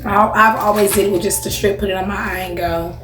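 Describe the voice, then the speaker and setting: A woman's voice: medium pitch, fairly even intonation, fast talker, echoey room, noisy background. A woman in her thirties hosting a beauty vlog, chatting casually and familiarly to her viewers with emphatic little check-ins. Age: 30s. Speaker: beauty vlogger and channel host.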